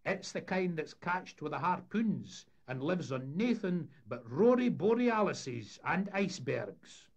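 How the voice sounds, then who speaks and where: Man playing an excitable Scottish deckhand, high-pitched. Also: measured pace, few pauses, husky, muffled recording, exaggerated voice. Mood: showman patter. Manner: enthusiastic, salesman-like patter. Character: eager, boastful young Scottish deckhand and showman. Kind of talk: storybook character voice in humorous tale.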